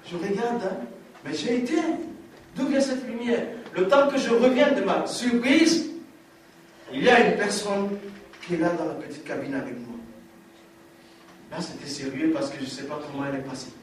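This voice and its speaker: A high-pitched man preaches his testimony in an emphatic way and hammers home lines by repeating them. He is caught from a distance in a very echoey hall, with a faint room hum behind him. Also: normal speed, long pauses. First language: African language. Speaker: testimony speaker.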